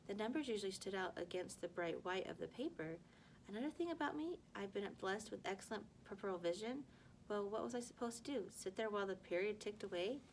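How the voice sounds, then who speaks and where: A woman's voice: medium pitch, slight background noise, low-quality recording. A woman reading a storybook aloud like a teacher to a class, expressively, with stumbles and self-corrections. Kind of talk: storybook read-aloud with acted dialogue.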